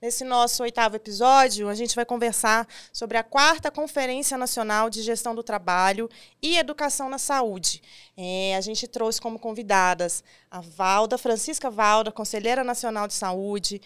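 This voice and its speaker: A Brazilian woman in her thirties presents a podcast in a studio. Her voice sits fairly high and sounds smooth and clear as she introduces topics and puts questions to guests. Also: normal speed, a bit bright. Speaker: podcast host. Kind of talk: scripted introduction and interview questions. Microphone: desk studio microphone on boom arm.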